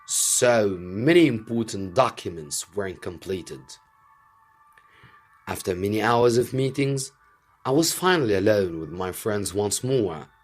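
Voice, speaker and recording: A man reads a story aloud like a teacher, taking his time over each phrase. His voice is slightly low and a little husky, swinging expressively through the narration, with music underneath. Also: expressive. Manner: slow, deliberate, theatrical delivery. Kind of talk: dramatised audiobook narration for students.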